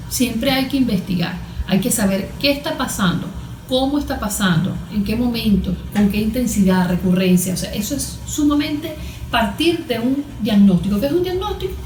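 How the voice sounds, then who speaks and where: Woman, Venezuelan accent, higher voice, therapist explaining conversationally, halting with rhetorical questions, roomy echo. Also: expressive, darkish tone. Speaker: cognitive therapist, interview guest. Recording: echoey room with background noise.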